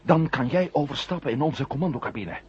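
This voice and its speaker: An actor playing a reassuring, insistent astronaut commander in a 1970 radio drama. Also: male voice, on the high side, lively intonation, brisk, lightly acted. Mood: reassuring, urgent. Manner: reassuring, insistent, repeats himself. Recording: dull, noisy 1970 radio drama recording.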